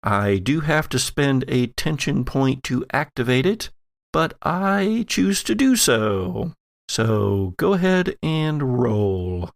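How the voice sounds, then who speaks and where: A man's voice: mid-range voice, big pitch swings, slow pace, vocal fry. A man acting as game master, narrating a tabletop role-playing game dramatically with lingering, descriptive phrasing.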